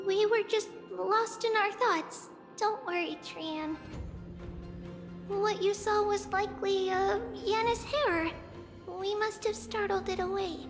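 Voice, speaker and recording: Very high-pitched little anime girl. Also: exaggerated voice. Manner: cute childish delivery, speaks of themselves by name. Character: childlike little girl oracle, earnest and excitable. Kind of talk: anime-style video game voice acting. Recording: long reverb, loud music behind.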